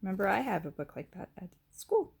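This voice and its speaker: A woman reading a picture book aloud to young children in an animated teacherly way, pausing to ask questions and chat about the story. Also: mid-range voice, creaky, breathy edge. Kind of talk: expressive read-aloud storytelling with asides and questions.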